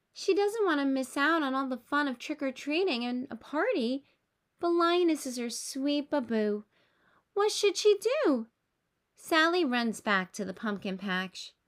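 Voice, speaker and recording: A woman narrates a storybook for young children. Her voice sits high and swings widely in pitch. She reads expressively and takes her time over each sentence. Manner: expressive, with rhetorical questions to young listeners. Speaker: storybook reader. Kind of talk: children's read-aloud narration.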